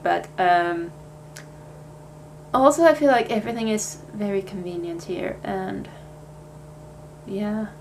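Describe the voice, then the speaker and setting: A woman's voice: medium pitch, a little hiss or hum. A young Swedish woman with a Swedish accent, chatting casually in a life update vlog, with plenty of fillers and restarts. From Sweden. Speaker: vlogger.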